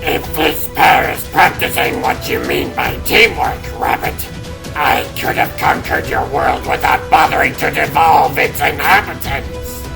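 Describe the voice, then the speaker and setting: A man playing a pompous, theatrical starfish alien conqueror in a comic dub, gloating in a gravelly voice over music. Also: a little high, animated, a little slow, heavily acted. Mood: mocking.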